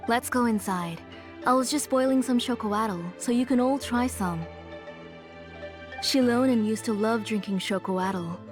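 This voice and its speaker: A woman playing a gentle, weary, motherly aunt in a video game, hospitable and soft-mannered. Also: fairly high pitch, unhurried, close to an everyday voice. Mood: welcoming. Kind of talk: video game character acting. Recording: game music behind the voice.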